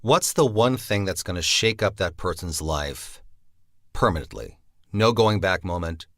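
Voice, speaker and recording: Deep-voiced writer man, dry sound. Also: sing-song, measured pace, no room sound. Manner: reflective, thinking aloud. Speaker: series co-writer, guest. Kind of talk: casual interview.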